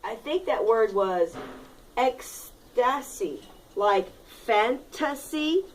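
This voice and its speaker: A woman's voice: a little high, lively intonation, very slow, a little hiss or hum. A woman teacher reading a storybook aloud expressively, stressing points and putting questions to her pupils in an instructive way. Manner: didactic, dramatic emphasis. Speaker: elementary school reading teacher.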